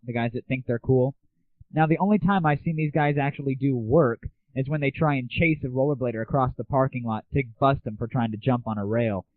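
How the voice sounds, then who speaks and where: A young American man hosting an audio show, chatting sarcastically and irreverently in a casual comic rant and review style. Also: dry recording. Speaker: host of an online gaming audio show. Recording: tinny, phone-like low-bandwidth audio, very dry.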